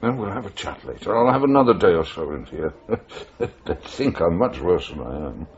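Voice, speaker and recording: An actor playing a sly, self-satisfied British freelance journalist in a 1980s radio drama, evasive in manner. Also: male voice, low voice, lively intonation, normal speed. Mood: guarded, cagey. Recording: dull, band-limited radio broadcast.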